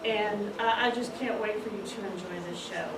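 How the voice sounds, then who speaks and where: A woman's voice: medium pitch, steady tone, moderate pace, distant mic. A woman, a school play director, giving an encouraging, informal introduction before a show. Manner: encouraging, enthusiastic. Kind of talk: informal pre-show speech to an audience. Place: large room, distant mic. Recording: roomy, noisy recording from afar.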